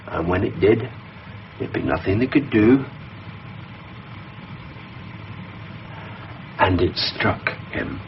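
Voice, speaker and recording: An actor in a radio drama playing an earnest, calculating schemer who talks in a measured, hesitant, confiding way. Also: male voice, deep voice. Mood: grieving, confiding. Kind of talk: naturalistic 1990s radio drama acting. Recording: dull radio recording, faint noise.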